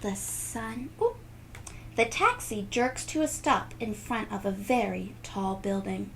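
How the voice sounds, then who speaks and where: Lady doing kids read-aloud, high voice, slow and expressive, bit of background noise. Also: gravelly. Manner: animated, emphatic, drawn-out words, gasps and sound effects. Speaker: children's read-aloud host. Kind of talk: expressive storybook reading with asides to children.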